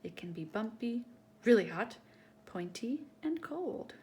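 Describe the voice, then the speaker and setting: Storytime host, female, very lively pitch swings, teacherly read-aloud for young kids, some background noise. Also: a little slow. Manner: animated, teacherly, addressing young listeners directly. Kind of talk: expressive children's picture-book read-aloud.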